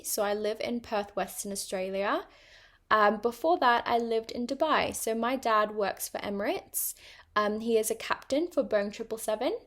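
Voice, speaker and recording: A woman's voice: a little high, fairly bright tone. A young woman with a partly British accent, chatting casually on her own podcast with plenty of ums and likes. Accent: mixed British and international. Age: young adult. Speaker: podcast host. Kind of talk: casual personal monologue.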